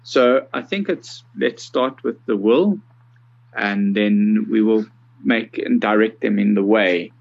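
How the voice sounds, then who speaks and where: A South African man in his sixties with a lowish, gravelly voice. He explains things in a measured, conversational way as an interview guest. The recording is slightly dull and muffled. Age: 60s. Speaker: interview guest, accessibility consultant.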